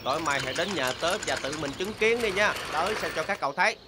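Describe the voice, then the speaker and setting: A man's voice: fairly high pitch, animated, fast, very noisy, close to an everyday voice. A man playing a boastful young boy train in a dubbed children's cartoon. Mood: boastful, defiant. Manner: boastful, showy. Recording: loud music under the voice.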